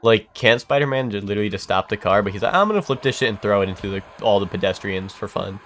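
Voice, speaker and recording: A man's voice: fairly low pitch, fast, fairly bright tone, a little hiss or hum. A young man with a congested, stuffy voice chats loosely while playing a game on stream. His talk rambles, full of fillers, self-corrections and casual swearing. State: congested from a cold. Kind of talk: casual chat while gaming.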